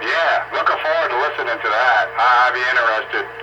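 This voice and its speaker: A man's voice: mid-range voice, fairly quick, highs cut off. A man chatting casually and informally as the host of an amateur radio roundup, heard over a radio transmission. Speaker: amateur radio operator hosting an on-air roundup. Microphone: radio transceiver microphone. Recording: noisy ham radio transmission with static.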